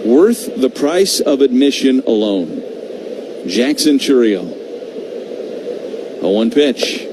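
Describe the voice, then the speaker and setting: A man doing live radio baseball play-by-play, conversational between pitches and excitable on the big calls. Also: takes it slowly. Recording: noisy live radio broadcast feed.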